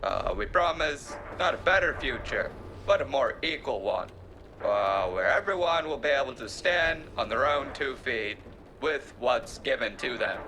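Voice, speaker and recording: A man playing a bumbling, evasive city politician who delivers a speech in a pompous, halting, awkwardly oratorical way in an audio drama. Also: mid-range voice, lively intonation, bright, forceful tone, slight background noise. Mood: solemn, pompous.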